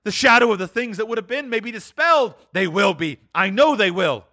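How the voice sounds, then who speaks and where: A man's voice: fairly high pitch, fast talker, a bit bright, pitched above the performer's own voice, close to an everyday voice. A man voicing a reformed old miser in a dramatic storybook reading, exclaiming fervently with emphatic repetitions. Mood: giddy elation. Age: older. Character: reformed old miser, frantic, pleading, then childishly joyful. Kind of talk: dramatic audiobook character reading.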